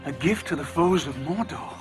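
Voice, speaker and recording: An actor playing a proud human warrior noble in an epic fantasy film, intense, haughty and formal. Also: male voice, on the high side, expressive, normal speed, over music, natural voice. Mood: urgent, entranced.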